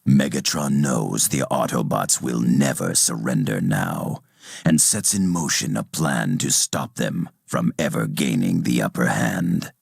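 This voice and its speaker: Cold robot warrior scientist for a game, rock-bottom pitch, husky gravel, haughty, clinical and measured. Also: male voice, animated, no echo, studio quality, exaggerated voice. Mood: grave, ominous. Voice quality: very deep, gravelly. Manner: measured, clinical, formal, condescending. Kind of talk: video game villain acting and narration.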